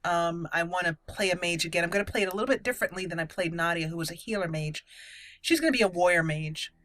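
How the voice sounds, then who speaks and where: A woman's voice: fairly low pitch, fast, a bit bright. A woman giving casual game commentary in a chatty, explanatory manner. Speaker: gaming video host.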